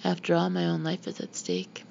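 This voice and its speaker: A woman's voice: lowish voice, very animated, moderate pace, creaky, darkish tone. A woman reading a memoir aloud as scripted audiobook narration. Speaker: audiobook reader. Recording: dull lo-fi home recording, background noise.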